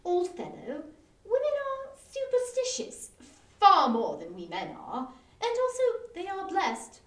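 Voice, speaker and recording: A woman voicing an earnest, cautious Victorian gentleman, a worried friend giving urgent advice, in a story reading. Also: extremely high pitch, voice pushed up, heavily acted. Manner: urgent, old-fashioned advising. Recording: roomy, distant-mic live online reading.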